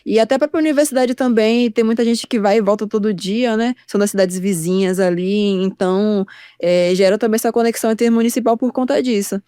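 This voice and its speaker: A young woman talks about her work as a podcast guest, earnest and sure of her words. Her voice is mellow and smooth, with a close, dry sound. She speaks fluently and with conviction. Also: mid-range voice, fairly quick, no room sound. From Itacaré, Bahia, Brazil. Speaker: guest artist. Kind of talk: podcast conversation.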